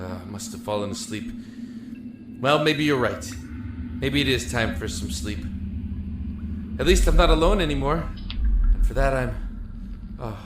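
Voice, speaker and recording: An actor playing a lonely, emotional young man in a prison cell in an audio drama, talkative and halting. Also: mid-range voice, natural voice. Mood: grateful. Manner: halting, rambling, effusive. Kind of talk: audio drama acting with first-person narration. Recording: echoey room with music behind.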